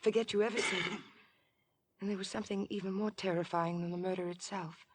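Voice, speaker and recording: An actress playing an anxious, devoted young fiancée in a 1950s radio drama, refined, earnest and pleading. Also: female voice, medium pitch, fairly even intonation, a little fast, close to an everyday voice. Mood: distressed, tender.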